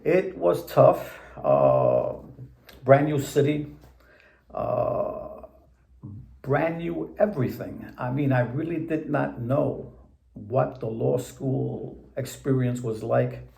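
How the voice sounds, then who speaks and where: A man in his seventies, born in Puerto Rico, recalling his path to the bench in a slow, deliberate, plain-spoken way. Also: mid-range voice, expressive, creaky, dark tone, reverberant. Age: 70s. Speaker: interviewee judge. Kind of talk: measured recollection in an interview.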